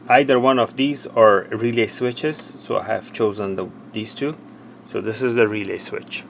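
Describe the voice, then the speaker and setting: A man presenting an unscripted technical demonstration, explaining step by step in a halting, plain way. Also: medium pitch, gravelly, a little hiss or hum. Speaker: tech tutorial presenter. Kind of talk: hands-on product demonstration, unscripted.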